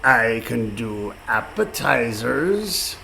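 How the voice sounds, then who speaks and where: An actor playing a gruff, sly pirate ship's cook in a comic radio play, with a gravelly voice and salty dialect. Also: male voice, mid-range voice, sing-song, drawn-out words, bright, forceful tone, a little hiss or hum, heavily acted. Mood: gruff bossy. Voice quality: gravelly, creaky.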